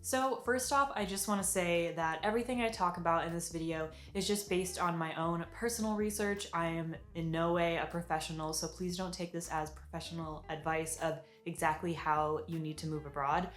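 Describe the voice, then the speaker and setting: A woman's voice: fairly low pitch, steady tone, moderate pace, hardly any pauses, echoey room, faint music behind. A young American woman chatting upbeat and casual in an advice vlog, with lots of fillers. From United States. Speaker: vlogger.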